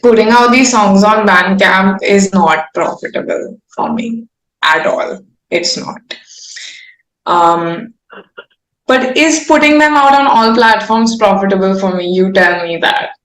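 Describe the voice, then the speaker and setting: Young woman, mid-pitched, informal and effusive interviewee in a roomy, echoing space. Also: measured pace, fairly bright tone. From India. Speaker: singer-songwriter guest. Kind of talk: candid casual interview chat.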